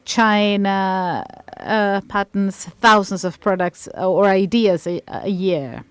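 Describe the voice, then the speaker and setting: A woman giving live interpretation of a conference panel, halting now and then with restarts as she keeps up with the speakers. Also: medium pitch, clean tone, no room sound. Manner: halting, with frequent restarts while keeping up. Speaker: simultaneous interpreter.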